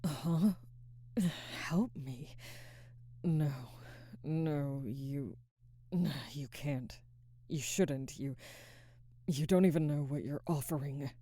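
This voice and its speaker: A woman playing a conflicted, protective alpha werewolf in an intimate ASMR roleplay, husky and halting, often slipping into a whisper. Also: low-pitched, warm tone, no room sound, exaggerated voice. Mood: strained, hesitant. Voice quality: husky, breathy, frequently dropping to a whisper. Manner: halting, hesitant, sighing. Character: adult female alpha werewolf, conflicted, protective, barely restrained.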